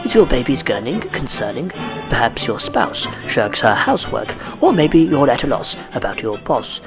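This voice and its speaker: A slick male radio announcer acted out by a man delivers a rhyming spoof advert. The pitch is animated and declamatory, with clear music behind it. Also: normal speed.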